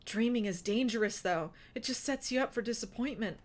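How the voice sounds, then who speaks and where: A woman's voice: on the high side, a little fast, voice pushed up, close to an everyday voice. A woman voicing a shy, tearful young girl, speaking in a raised, hesitant voice. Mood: timid, teary. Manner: hesitant, shaky speech.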